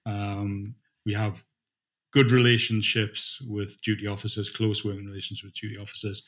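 A middle-aged man answers interview questions informally. He speaks haltingly, thinking aloud as he explains. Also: on the low side, flat delivery, a bit bright. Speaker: dispatch manager being interviewed.

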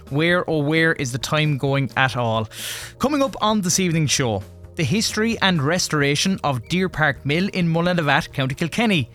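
A man's voice: high-pitched, a bit bright. A man with an Irish accent presenting a radio programme, reading a scripted introduction as host.

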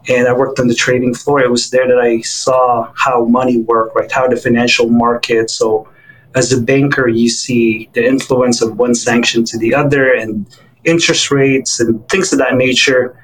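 A man's voice: medium pitch, normal speed, poor audio. Filipino-raised man telling his life story. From Philippines. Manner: relaxed storytelling with fillers and restarts. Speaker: interview guest.

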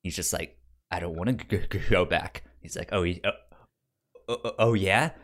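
Man chatting haltingly, repeating syllables. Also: low voice, a bit bright. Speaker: film podcast co-host. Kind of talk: casual film discussion.

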